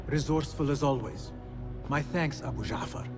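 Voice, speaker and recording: An actor playing an earnest young rebel assassin in a video game, speaking in measured, formal phrases. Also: male voice, fairly high pitch, loud music behind the voice, close to an everyday voice. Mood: calm, sympathetic. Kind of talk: video game cutscene acting.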